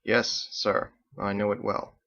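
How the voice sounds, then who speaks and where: A grown son in a story, played by a male reader, dour and curt. He keeps his words stiffly polite and restrained, with some creak, on a slightly muffled recording. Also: lowish voice, completely flat delivery, slow, fairly bright tone, heavily acted.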